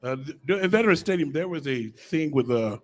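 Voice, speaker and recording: A man from St. Louis with a somewhat high voice co-hosts a sports show. He chats casually and spins stories, jokey and halting, often repeating himself. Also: expressive. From St. Louis, United States. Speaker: sports talk co-host, former football player. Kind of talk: casual chat and storytelling.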